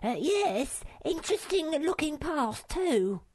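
A woman voicing a small, timid and bossy male creature in a storybook reading, squeaky and fretful. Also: big pitch swings, takes it slowly, darkish tone, full character voice.

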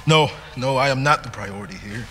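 An actor playing a wounded, weary but commanding middle-aged American police lieutenant in a video game, strained with pain. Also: male voice, medium pitch, a little fast, sharp tone, close to an everyday voice. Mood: stern, urgent. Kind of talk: dramatic video game acting.

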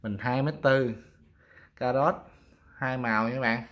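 A man's voice: medium pitch, steady tone, takes it slowly, a bit bright, slight background noise. A man with a Southern Vietnamese accent casually showing goods for sale, talking informally to viewers as friends. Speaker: fishing rod seller presenting products. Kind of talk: casual product showcase.

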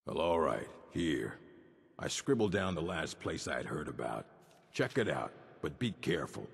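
An actor playing a gruff, learned old orc librarian in a video game, with a gravelly rasp and a measured, curt delivery. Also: male voice, rumbling low, very animated, heavily acted. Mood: dry, measured. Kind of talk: video game dialogue acting. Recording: long reverb of a stone hall.

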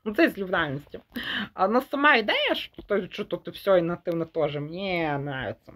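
A young man giving a casual, unscripted movie review in a halting way, often rephrasing mid-thought and mixing Ukrainian with Russian words. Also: sits high, sing-song, pushed and bright. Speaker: film reviewer.

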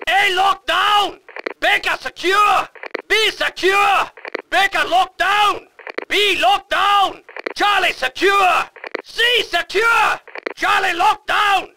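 Fervent wartime German soldier, video game announcer: clipped urgent barks, shouted, over noise. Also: male voice, extremely high pitch, steady tone, slow pace. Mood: urgent, commanding.

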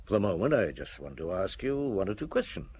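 An actor playing a patient, shrewd middle-aged police chief inspector in a radio drama, measured and probing, with thoughtful hums. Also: male voice, sits low, sing-song, a little mellow, breathy edge, full character voice. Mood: gently coaxing.

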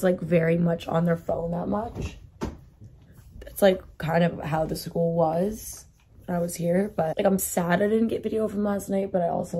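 A young woman in her twenties speaks in a low, hoarse, gravelly voice after losing it. She films herself on a phone at arm's length in her bedroom and chats casually, dropping "like" everywhere. A faint hiss sits under the recording. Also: warm tone. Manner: chatty, says "like" constantly. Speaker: vlogger. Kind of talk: casual vlog chat. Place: bedroom, indoors. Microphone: phone camera at arm's length.

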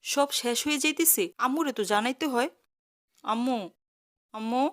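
A woman's voice: sits high, moderate pace, lightly acted. A woman with a Bangladeshi accent voicing a cheeky, dramatic young daughter in a cartoon comedy. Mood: urgent, demanding.

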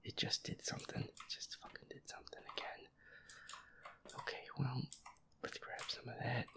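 A man muttering anxiously to himself and swearing as he plays a scary video game, breathy and out of breath. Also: normal speed, in a whisper. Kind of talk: whispered horror game playthrough, muttering to himself.

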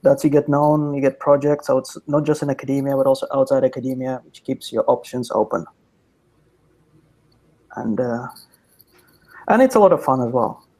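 A man's voice: medium pitch, moderate pace, pauses often, darkish tone. Thoughtful man on a video call. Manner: thoughtful, pragmatic, with frequent restarts. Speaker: panelist from industry. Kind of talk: panel answer over video call. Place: office with orange wall. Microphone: video call webcam.

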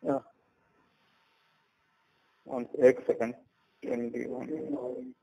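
A man working as a store salesperson, answering a customer on a recorded phone call in a hesitant, halting way. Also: medium pitch, little pitch movement, creaky, mellow.

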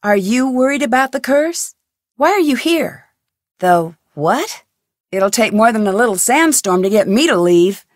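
A woman's voice: a little high, sing-song, unhurried, no echo. An actress voicing a curious young female detective in a video game, asking direct, probing questions. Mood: inquisitive. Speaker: game protagonist. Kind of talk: studio video game voice lines. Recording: dry studio recording.

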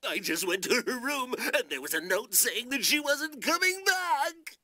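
A man's voice: very high-pitched, big pitch swings, measured pace, pushed and bright, no echo, clean studio sound, heavily acted. A man voicing a whiny, panicky, childish lord in a video game, blubbering and pleading. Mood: panicked wailing. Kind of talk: scripted video game voice acting.

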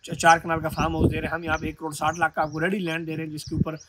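A man in his thirties with a Pakistani Urdu accent delivers a lively sales walkthrough, pointing out features as he goes. His voice sits slightly high. He is recorded out of doors on a rooftop, with heavy outdoor noise behind him. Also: very fast. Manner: upbeat sales pitch, pointing out sights while talking. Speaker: property consultant. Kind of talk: promotional property walkthrough. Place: outdoors on a rooftop at a rural farm estate. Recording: loud outdoor wind and ambient noise.

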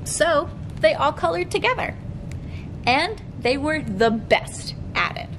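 A young woman hosting a storytime, reading aloud to children in an expressive, sing-song way. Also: slow pace. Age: young adult. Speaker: children's librarian, storytime host. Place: library room with bookshelves. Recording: clearly noisy room recording, low rumble.

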